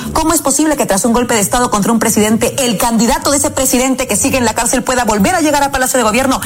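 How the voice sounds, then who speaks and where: A woman from Peru with a Peruvian Spanish accent, delivering an impassioned scripted political monologue with rhetorical refrains. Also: fairly high pitch. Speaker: political commentator.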